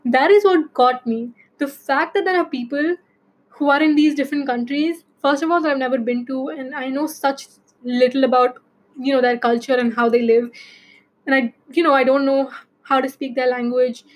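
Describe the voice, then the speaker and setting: Young woman with an Indian accent, high voice, podcast host, chatty and rambling, full of fillers. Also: steady tone, normal speed, rough recording. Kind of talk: casual solo podcast talk.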